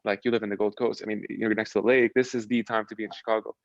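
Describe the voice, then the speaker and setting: A young man talks fast and fairly flat in a low voice, chatty and relaxed, restarting his sentences often. The dry, dull sound of a video call carries him. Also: no room sound. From Lebanon. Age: young adult. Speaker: podcast guest. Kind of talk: casual chat.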